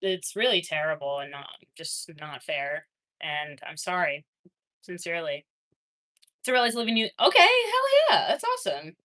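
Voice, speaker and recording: A young adult woman streaming, casually chatting with her viewers and reading their messages, laughing often and swinging between excited and quiet. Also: lowish voice, normal speed. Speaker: livestreamer reading chat. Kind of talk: casual livestream chat.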